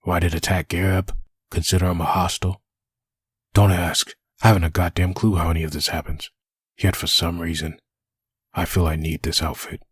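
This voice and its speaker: Male actor as troubled cursed antihero, deep, heavily rasped, brooding, dry close recording, comic dub. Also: flat delivery, fairly quick, no echo. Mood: anguished, defensive. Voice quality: raspy, gravelly husk. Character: brooding, troubled man cursed with a living costume.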